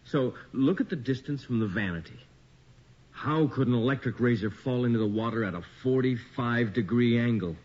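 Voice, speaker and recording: An actor playing an inquisitive, wry and uneasy investigator in a radio horror drama, speaking in an expressive, questioning way. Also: male voice, medium pitch, moderate pace, breathy edge, lightly acted. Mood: probing. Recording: dull, noisy old radio recording.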